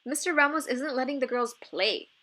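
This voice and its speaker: A woman's voice: sits high, moderate pace, bright, forceful tone, voice pushed up. A woman voicing an outspoken, spirited seventh-grade girl in a children's storybook. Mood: exasperated. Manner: emphatic, outspoken.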